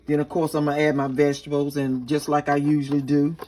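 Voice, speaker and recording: A woman with a raspy voice, chatting casually to her viewers in a home kitchen cooking vlog. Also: low voice, completely flat delivery, fast talker, noisy background. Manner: chatty, talks straight to viewers. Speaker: cooking vlogger.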